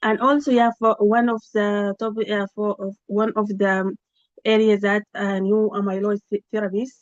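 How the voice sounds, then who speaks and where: Halting woman on a flat, muffled call. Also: mid-range voice, dry recording. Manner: halting, many fillers and restarts. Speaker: researcher giving a project update. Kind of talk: spontaneous meeting report. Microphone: video call. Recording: slightly dull, dry video-call audio.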